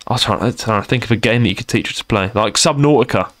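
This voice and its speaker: A man's voice: fairly low pitch, rapid-fire, fairly bright tone. A young man with a London accent co-hosting a casual gaming podcast, rambling and repeating himself as he chats. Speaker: podcast co-host.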